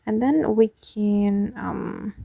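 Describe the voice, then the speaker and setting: A woman hosting a tutorial, narrating step by step with hesitant, drawn-out pauses. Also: medium pitch, vocal fry, mellow, no room sound. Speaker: tutorial host.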